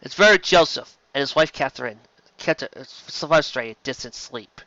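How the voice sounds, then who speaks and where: A man's voice: medium pitch, normal speed, bright, forceful tone, no room sound, highs cut off. A man reading science fiction aloud as an amateur audiobook narrator, in a dramatic storytelling style.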